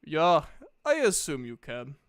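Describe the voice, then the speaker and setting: Grateful tavern worker for fantasy roleplay, clear and bright played by a man. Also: on the high side, wildly swooping pitch, unhurried, full character voice. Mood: friendly, grateful. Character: friendly, grateful dark-haired human tavern worker. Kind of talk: tabletop roleplay character acting.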